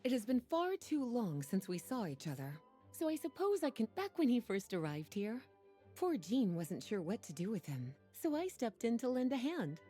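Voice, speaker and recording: A woman voicing a poised, playful and knowing sorceress-librarian in a video game, with soft, breathy, unhurried, teasing delivery. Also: on the high side, sing-song, soft background music. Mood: playful, relaxed. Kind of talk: video game character dialogue.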